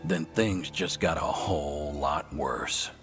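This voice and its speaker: Grim wry elf scout in a fantasy game, deep voice, dry delivery, music under it. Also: male voice, slow, a bit bright, heavily acted. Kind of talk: dramatic game dialogue.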